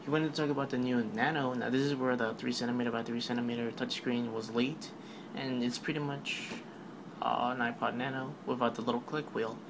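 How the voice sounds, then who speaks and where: Casual male narrator, monotone, rambling, noisy home voice-over. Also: mid-range voice, a little fast. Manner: offhand, rambling, filler-heavy. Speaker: tech video narrator. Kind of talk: casual voice-over recap of a keynote.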